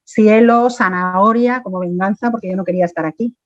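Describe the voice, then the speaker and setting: A woman's voice: mid-range voice, a little fast, dry recording, lo-fi sound. A woman from Mexico, a guest in a casual interview, chatty and talking in long run-on stretches.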